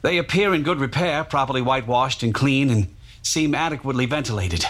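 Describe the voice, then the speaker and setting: A man playing a formal, deliberate nineteenth-century newspaper publisher reporting in a historical dramatization. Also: medium pitch, fairly bright tone, close to an everyday voice. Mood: grave, observant.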